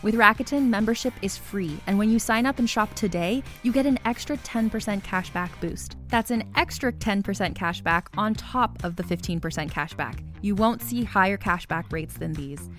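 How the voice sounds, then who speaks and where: A woman's voice: medium pitch, normal speed, hardly any pauses, fairly bright tone, soft background music. A woman reading a scripted commercial as an announcer. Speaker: advertising announcer.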